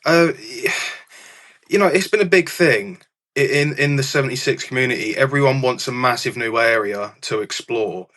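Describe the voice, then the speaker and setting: A man's voice: mid-range voice, moderate pace, a bit bright. A man chatting casually as a guest on a gaming discussion panel.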